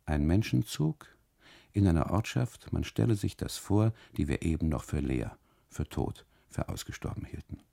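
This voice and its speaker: A man narrating a literary radio monologue in deliberate phrases, with dramatic pauses and emphasis. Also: deep voice, sing-song, gravelly, dark tone. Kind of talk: literary first-person narration, 1980s German radio play.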